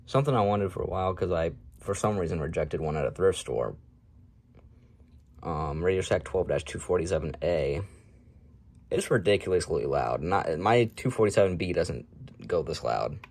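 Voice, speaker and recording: A man's voice: low voice, expressive, normal speed, a little mellow. A man giving a casual, unscripted show-and-tell as a hobby collector, talking in an offhand, matter-of-fact way with rambling asides.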